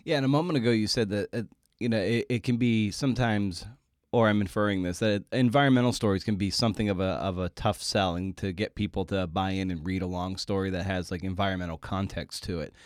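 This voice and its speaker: A man's voice: low voice, steady tone, quick pace, no room sound, studio quality. A man hosting a podcast in a casual, playful and informal way, rambling a little as he talks. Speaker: podcast host. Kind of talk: casual conversational interview with scripted introduction.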